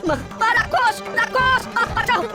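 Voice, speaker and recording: A woman voicing an anxious but stubborn young village potter in an animated fairy tale. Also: very high voice, moderate pace, loud music behind the voice. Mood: terrified. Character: frightened but defiant young village clay-doll maker.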